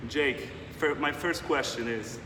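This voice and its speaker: A young man from Europe interviewing a guest, asking questions with many restarts. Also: medium pitch. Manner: halting, restarts a lot, friendly. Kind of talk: casual interview. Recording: echoey room, mic far off, noisy.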